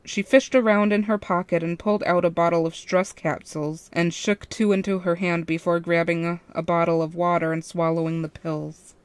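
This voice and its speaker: A woman's voice: on the low side, fairly even intonation, normal speed, hardly any pauses, clear, resonant tone, no echo. A woman reading a first-person fan fiction story as its narrator.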